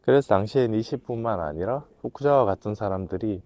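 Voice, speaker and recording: A man with a voice hoarse from a cold, giving a measured, reflective talk to camera, pausing to think as he explains. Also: fairly low pitch, a little mellow. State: has a cold. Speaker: host, management consultant lecturer.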